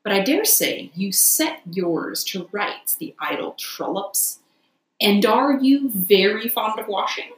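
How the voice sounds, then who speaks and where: A woman's voice: mid-range voice, lively intonation, takes it slowly, roomy sound, low-quality recording. A woman voicing a big, hearty working barge woman from a storybook, polite, plain-spoken and chatty. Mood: respectful, hearty.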